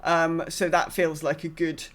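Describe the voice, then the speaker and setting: A woman's voice: low voice, little pitch movement, measured pace. A woman co-hosting a gaming podcast in casual chat, thinking aloud in a hesitant, hedging way. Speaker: podcast co-host.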